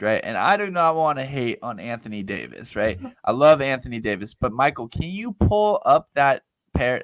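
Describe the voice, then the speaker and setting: A man's voice: mid-range voice, fairly bright tone, no echo. A man in his twenties eagerly making a point step by step in casual sports talk. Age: 20s. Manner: eager, builds arguments step by step. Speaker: podcast co-host.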